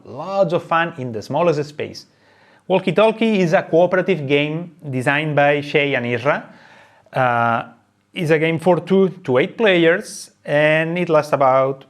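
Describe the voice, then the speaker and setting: A man presenting an unscripted how-to explanation of a game. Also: on the high side. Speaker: presenter of a board game tutorial.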